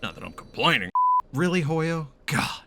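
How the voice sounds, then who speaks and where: A man's voice: fairly high pitch, big pitch swings, moderate pace, creaky, bright, forceful tone, a little hiss or hum. A man giving casual, exclamatory live game commentary, swearing freely and asking baffled questions. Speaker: gaming streamer reacting to a story quest. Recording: game music faintly behind. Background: game music and dialogue.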